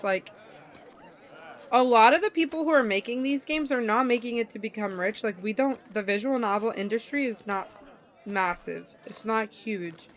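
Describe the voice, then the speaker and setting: A young woman streaming a visual novel, chatting casually in an expressive, slangy way. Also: medium pitch. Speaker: game streamer. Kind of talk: casual chat while reading a visual novel.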